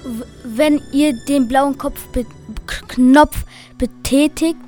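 A woman's voice: sits high, steady tone, slow, warm tone, soft background music. Girl playing a heavily stuttering cursed forest figure. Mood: halting, solemn. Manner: heavy stutter on first syllables. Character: stuttering cursed forest spirit, slow and solemn helper. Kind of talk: amateur school radio play.

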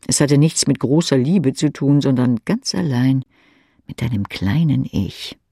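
A man reading intimate second-person literary narration as an audiobook narrator, with a husky, creaky voice. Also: medium pitch, measured pace, mellow.

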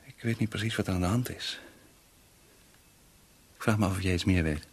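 A man's voice: deep voice, a little fast, breathy edge. A male actor in a radio play playing a worried, tactful employer who speaks hesitantly and apologetically. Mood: concerned, tactful.

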